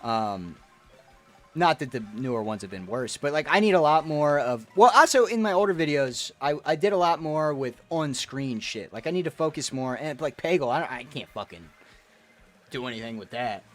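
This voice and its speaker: A man's voice: a little high, animated, moderate pace, faint music behind. A man streaming a game, chatting with viewers in a profane, rambling, ranting way. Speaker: gaming streamer. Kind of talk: casual rambling stream chat.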